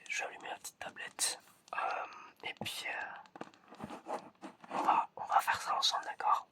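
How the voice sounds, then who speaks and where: Someone whispering hesitantly and softly to the listener, in an ASMR roleplay as an examiner. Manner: hesitant, soft-spoken, addressing the listener directly. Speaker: ASMR creator playing an examiner.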